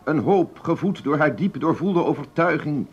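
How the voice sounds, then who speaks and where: A man's voice: on the high side, expressive, husky. A solemn male radio reporter. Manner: grave, measured, formal. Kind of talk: scripted radio narration within a drama. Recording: dull old radio recording.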